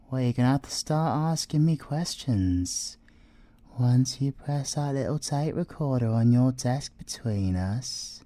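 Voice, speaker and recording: A man's voice: medium pitch, dark tone, no echo. A British man voicing an arrogant, flirtatious male siren thief in an ASMR audio roleplay, teasing and theatrical, sometimes dropping to a whisper.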